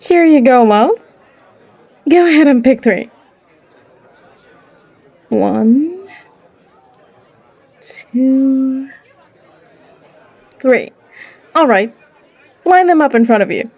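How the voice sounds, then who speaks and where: Woman playing a slow, mellow, teasing female barkeep fortune teller. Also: high-pitched, animated, a faint crowd, full character voice. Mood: cheerful, inviting. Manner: coaxing, unhurried, calls listener love. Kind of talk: character audio roleplay acting.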